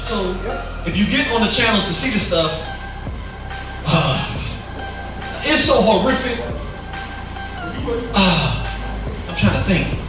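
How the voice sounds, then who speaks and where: High-voiced man in a very echoey room. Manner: halting, searching for words. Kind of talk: casual talk. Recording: very echoey room, far from the mic, music behind.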